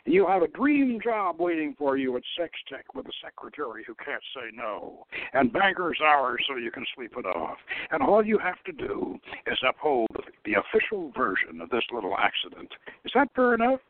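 A fatherly general acted out by a man reading a story, older and smoothly coercive. He speaks in a paternal, low-key way with a threat underneath. The sound is thin, like a telephone, with a dry edge. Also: sing-song, moderate pace, no room sound, lightly acted. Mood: fatherly, persuasive. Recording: thin phone-like radio line.

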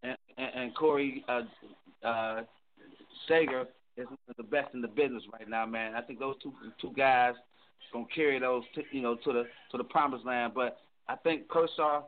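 A man's voice: medium pitch, steady tone, moderate pace. A man on a sports talk panel giving a loose, rambling take full of restarts. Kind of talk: sports analysis. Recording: noisy phone-line audio.

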